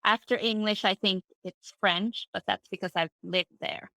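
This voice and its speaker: A middle-aged woman from Finland whose first language is Swedish, a translator talking about her career as an interview guest, relaxed and thoughtful, with plenty of fillers and restarts. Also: medium pitch, normal speed, creaky, no room sound. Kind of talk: casual conversational interview.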